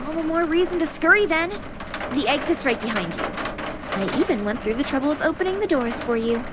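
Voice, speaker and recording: A woman's voice: high voice, animated, brisk, heavy background noise. A woman voicing a playful, caring female AI companion with a girlish anime persona in a video game, chirpy, teasing and polite. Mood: light, caring. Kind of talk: scripted video game voice acting. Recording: music behind the voice.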